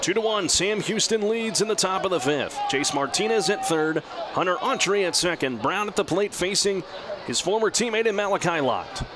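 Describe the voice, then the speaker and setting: A man working as a radio play-by-play announcer, giving live baseball commentary in a measured, descriptive way that lifts with the action. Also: high voice, expressive. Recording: clear outdoor ballpark background noise. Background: outdoor stadium ambience.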